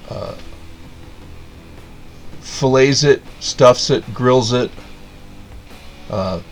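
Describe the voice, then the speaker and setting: Sarcastic man ranting in a casual review. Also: lowish voice. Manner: sarcastic, rambling, full of fillers and restarts. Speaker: video reviewer.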